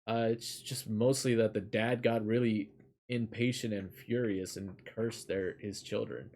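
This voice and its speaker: A man's voice: on the low side, steady tone, unhurried, a little mellow. A man reading a fairy tale aloud and chatting casually as a streamer, in a loose, rambling manner. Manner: casual, rambling, swears lightly. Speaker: storyteller and streamer.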